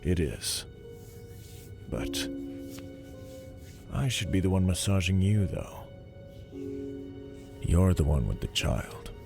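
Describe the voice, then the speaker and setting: An actor playing a weary, gruff police detective and devoted husband in an audio drama, with a dry, sardonic manner and a gravelly voice. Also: male voice, deep voice, very animated, slow, long pauses, music in the background, exaggerated voice. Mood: tired, tense. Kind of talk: audio drama acting and first-person narration.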